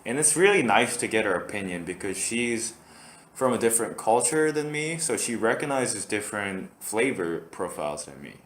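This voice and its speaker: A man's voice: lowish voice, very animated, normal speed, a bit bright, reverberant, a little hiss or hum. A man from Korea, hosting a casual solo podcast and rambling with lots of fillers and restarts. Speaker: podcast host.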